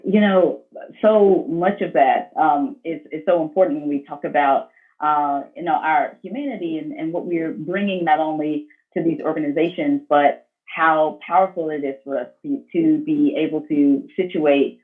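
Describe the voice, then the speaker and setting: A woman moderating a panel discussion on a video call, speaking in a measured, affirming way. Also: low-pitched, phone-quality sound. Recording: thin, band-limited video call audio.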